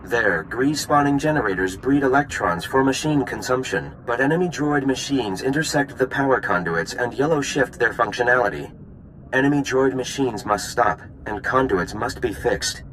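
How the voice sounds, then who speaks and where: An actor playing a politely pedantic alien science unit in a video game, with formal, oddly stilted delivery. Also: male voice, medium pitch, expressive, moderate pace, a bit bright, slight background noise, close to an everyday voice, rough recording. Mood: formal, curious.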